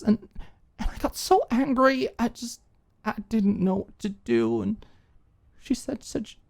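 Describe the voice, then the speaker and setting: A woman's voice: a little high, creaky, pitched above the performer's own voice, exaggerated voice. A woman voicing a drunk, guilt-ridden young woman in an audio drama, crying and stammering in a trembling, pleading voice. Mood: hurt, choked. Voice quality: trembling, faltering voice.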